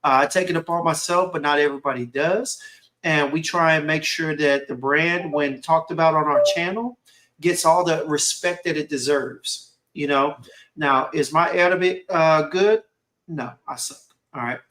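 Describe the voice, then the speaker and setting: A male host speaks with a slightly high voice in an easygoing conversation. He rambles and stacks up fillers like "whatnot" and "you know", with lively, animated energy. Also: moderate pace, low-quality recording. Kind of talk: casual conversation.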